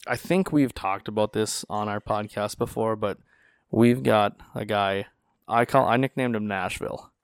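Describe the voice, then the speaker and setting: Lively North Dakota man hosting a podcast. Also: on the low side, moderate pace, smooth, no room sound, studio quality. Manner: animated, acts out quoted voices. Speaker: podcast host. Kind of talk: casual storytelling chat. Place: small studio. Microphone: studio podcast mic.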